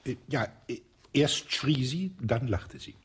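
An actor playing a sly colonel under police questioning in a radio play, with a husky voice, evasive and dryly sarcastic, often dropping to a whisper. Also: male voice, medium pitch, animated, slow, exaggerated voice. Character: sly elderly male colonel, suspected wife-killer.

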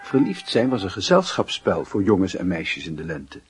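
An actor as a reflective narrator, reading literary narration for a Dutch radio play in a husky voice. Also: male voice, deep voice, wildly swooping pitch, normal speed, no room sound, full character voice.

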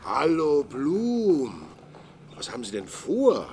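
A man's voice: on the high side, huge pitch swings, takes it slowly, a little mellow, slight background noise, heavily acted. A man playing a sociable, nosy acquaintance in a radio drama, rambling through anecdotes in a conversational way. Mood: sociable, inquisitive. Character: chatty gossiping male acquaintance, sociable and nosy.

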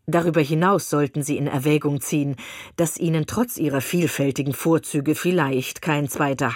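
A woman reading as a pompous male clergyman from a classic novel, long-winded and condescending. The voice sits low and slightly husky. The sound is dry and close. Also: a little mellow, dry recording. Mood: insistent. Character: pompous self-satisfied male clergyman suitor.

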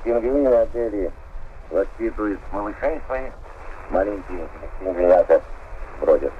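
A man's voice: on the low side, moderate pace, narrow, tinny audio, a little hiss or hum. A man at a polar station answering interview questions over a long-distance radio link.

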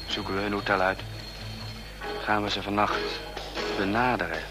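Casting: man as doubtful junior secret policeman, radio drama, lowish voice under loud music. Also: little pitch movement, moderate pace, natural voice. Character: doubting male subordinate secret agent, deferential but restless. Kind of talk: radio play acting.

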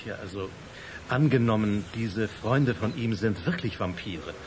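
A man's voice: fairly low pitch, animated, a little slow, background noise. A man playing a worried, earnest father who speaks hesitantly and with suspicion, in a German children's radio play.

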